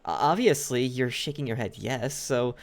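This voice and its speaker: A man playing a possessive, lovesick demon butler in an intimate ASMR roleplay, coaxing with a halting delivery and lingering pauses. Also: mid-range voice, lightly acted. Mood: insistent, coaxing.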